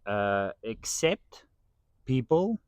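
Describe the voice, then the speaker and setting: A man's voice: mid-range voice, big pitch swings, drawn-out words, clear, resonant tone, no echo, clean studio sound. A man whose first language is Afrikaans, talking as a podcast guest in a casual, earnest and playful way. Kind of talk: casual chat.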